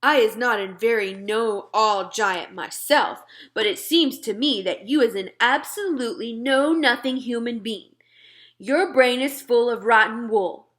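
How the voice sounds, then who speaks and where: A woman's voice: fairly high pitch, unhurried, a bit bright. A woman voicing a very old friendly giant in a children's storybook, declaiming theatrically and indignantly in garbled grammar. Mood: contemptuous. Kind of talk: children's storybook read-aloud.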